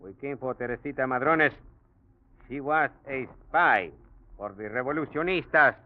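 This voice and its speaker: An actor playing an excitable but courteous policeman with a Spanish accent in a 1940s radio drama. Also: medium pitch, normal speed, natural voice. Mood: firm, explanatory. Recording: dull old radio recording.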